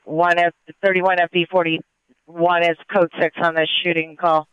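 High, very clear male radio dispatcher. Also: flat delivery, unhurried, no room sound. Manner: clipped, procedural. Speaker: police dispatcher. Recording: muffled police scanner radio.

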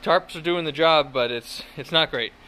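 A man's voice: on the high side, normal speed, pushed and bright, slight background noise, poor audio. A man in his thirties, talking casually and rambling a bit with fillers and self-deprecating asides as he films his house build outdoors on a phone at arm's length. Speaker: home builder vlogger. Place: outdoor construction site in woods. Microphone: phone held at arm's length.

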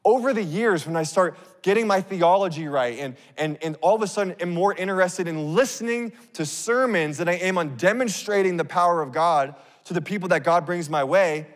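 A man in his thirties preaching on a church stage into a handheld mic, telling a story in a casual, animated way. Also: sits high. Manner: animated, acts out scenes, casual fillers. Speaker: pastor. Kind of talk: sermon storytelling. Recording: long reverb of a big hall.

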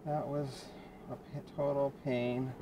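A man's voice: medium pitch, level tone, takes it slowly. A man, a delivery driver, narrating to his viewers while he drives, muttering in exasperation about his troubles. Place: inside a car. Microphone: dash cam in the car. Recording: car noise behind.

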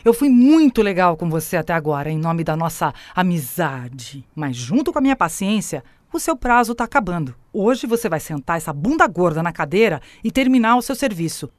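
An actress playing a bossy, menacing artificial intelligence in an audio drama, mock-sweet, sarcastic and commanding. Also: female voice, fairly low pitch, very animated, brisk, hardly any pauses, no room sound. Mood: stern, threatening.

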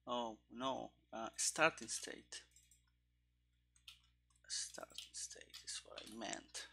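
Guy streaming some game coding, thinks out loud, slow and halting, kinda bright voice, headset mic. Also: on the low side, wildly swooping pitch, vocal fry, a little hiss or hum. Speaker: programmer live-streaming game development. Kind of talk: casual live coding commentary. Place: small room indoors at a desk. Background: keyboard typing and clicking.

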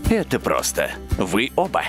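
A man's voice: fairly low pitch, wildly swooping pitch, measured pace, music in the background. A man voicing a stern but caring middle-aged inventor father in a cartoon dub, firm and lecturing. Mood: explaining.

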